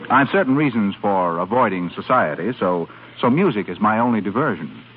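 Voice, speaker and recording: An actor playing a suave, teasing secret admirer in a 1930s radio drama, smooth with small hesitations. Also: male voice, on the low side, animated, normal speed. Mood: ardent, courtly. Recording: tinny, noisy 1930s radio broadcast.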